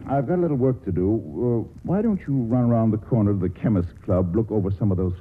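An actor playing a witty, breezy man-about-town writer in a 1940s radio drama, arch and teasing, with a husky voice. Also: fairly low pitch, lively intonation, quick pace. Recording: tinny old radio broadcast.

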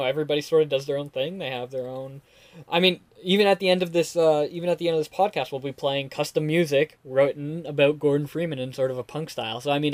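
A man's voice: mid-range voice, dry recording. A man hosting a casual podcast chat, talking in a rambling way with lots of fillers. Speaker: podcast host.

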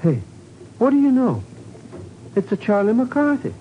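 An actor playing a brash, slow-witted comic sidekick who blusters and stammers in slang, in a 1950s radio drama. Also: male voice, very high-pitched, big pitch swings, phone-quality sound, full character voice. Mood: flustered. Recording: faintly noisy old radio recording.

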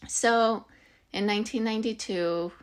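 A woman in her thirties from Bosnia, a podcast guest sharing personal stories in a conversational, halting way. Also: a little high, fairly even intonation, clear, resonant tone. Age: 30s. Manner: conversational, halting, often says "like" and "you know". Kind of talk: casual personal storytelling.

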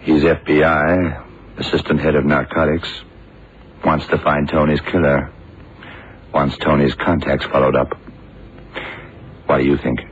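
Male investigator, radio crime drama: extremely low, calm, dry, probing; dull, hissy vintage recording. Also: unhurried, pauses often. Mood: thoughtful. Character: calm, wry, deep-voiced lead investigator.

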